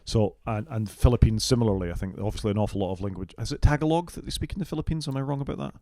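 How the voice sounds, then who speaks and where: A British man co-hosting a casual tech podcast, going through a list of features and giving quick, opinionated takes. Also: medium pitch, dark tone, dry recording. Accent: British English. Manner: fast-talking, opinionated, goes down the list item by item. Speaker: podcast co-host.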